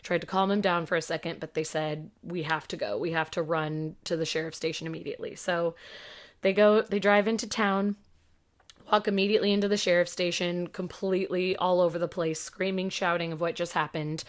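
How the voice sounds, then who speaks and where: Lowish-voiced woman on a podcast, chatty and lively, tells the story with some swearing. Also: brisk. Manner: animated, swears, acts out quotes. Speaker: podcast co-host. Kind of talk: casual storytelling chat.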